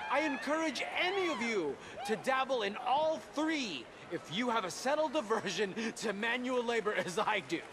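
A man's voice: high-pitched, exaggerated voice. An actor playing a pompous, boastful old-time stage showman in a video game, announcing with grandiose, florid oratory. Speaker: theater master of ceremonies. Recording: noisy theater with crowd.

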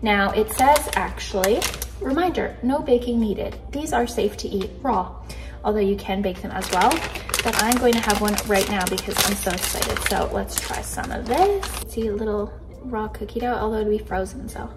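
A woman's voice: medium pitch, moderate pace, background noise. Bubbly young woman chatting casually. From Canada. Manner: bubbly, chatty, easily excited. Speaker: vlogger. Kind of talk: casual grocery haul chat. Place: kitchen indoors.

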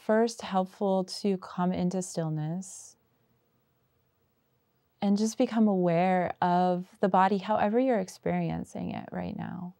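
A woman guiding a meditation as its teacher, with unhurried, gentle prompts and long pauses. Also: on the low side, fairly even intonation, gravelly, no room sound, studio quality. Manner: slow, pausing, unhurried delivery with gentle prompts. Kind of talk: guided meditation.

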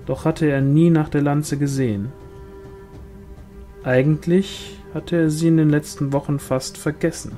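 Man, audiobook reader, mellow warm voice, literary narration, faint music behind. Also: on the high side, steady tone, unhurried. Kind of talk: scripted literary narration of a fantasy novel.

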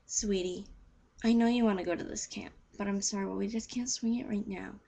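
A teenage girl voicing a caring, apologetic working mother with a gentle manner, in an amateur audition. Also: medium pitch, fairly even intonation, a little fast, creaky, husky. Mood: regretful.

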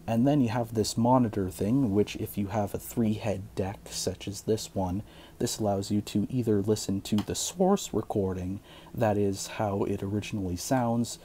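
A man hosting a casual how-to tutorial, explaining things in a measured way. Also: on the low side, warm tone, slight background noise. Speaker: tutorial host.

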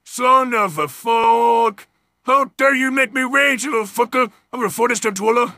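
A man's voice: very high-pitched, normal speed, pushed and bright, dry recording, studio quality. A man doing a crude, improvised comedy skit voice-over, exclaiming, ranting and swearing. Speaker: comic voice-over performer.

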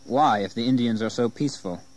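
A man acts as a colonial-era English gentleman, newly arrived and probing. His pitch is average, and his manner is agreeable, as in a radio history play. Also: brisk, close to an everyday voice, poor audio. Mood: inquiring. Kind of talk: dramatized audio history acting.

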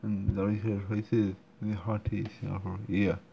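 Hesitant gravelly man, muffled recording. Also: lowish voice, little pitch movement, unhurried. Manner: hesitant, many fillers and restarts. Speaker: player commenting on a game. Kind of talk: halting casual commentary. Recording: dull muffled recording, faint room noise.

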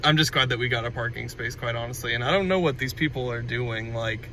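A young man from Kansas vlogs into his phone at arm's length. His voice is bright and forward, with a mid pitch, and he rambles loosely through fillers and restarts. Faint street and car ambience sits behind him. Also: fast, slight background noise, rough recording. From Kansas, USA. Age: young adult. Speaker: vlogger. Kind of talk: casual vlog chat. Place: street outdoors, then inside a parked car. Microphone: phone held at arm's length.

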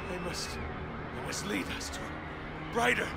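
An actor playing a desperate, vengeful mad scientist in a video game, grandiose and theatrical, with a strained delivery. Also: male voice, sits high, big pitch swings, takes it slowly, creaky, a loud crowd, full character voice. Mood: defeated, stunned. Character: desperate vengeful male mad scientist, robot-building villain. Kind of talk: dramatic video game villain acting. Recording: loud action music behind the voice.

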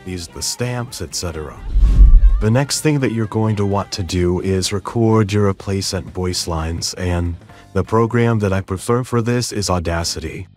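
Guy with a lowish voice walking you through software steps, casual, music playing behind him. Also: moderate pace. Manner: casual, explanatory, instructional. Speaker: tutorial host. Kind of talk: step-by-step software tutorial narration.

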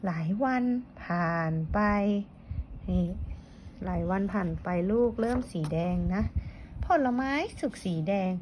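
A woman reading a picture book aloud to a child, telling it slowly and clearly with playful asides. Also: medium pitch, darkish tone. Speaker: storyteller. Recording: dull lo-fi with faint noise.

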